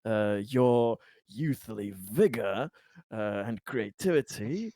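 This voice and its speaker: A man playing an aging, smooth-talking, manipulative politician who flatters and persuades with halting pauses in a tabletop game. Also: lowish voice, dry recording. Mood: flattering persuasion.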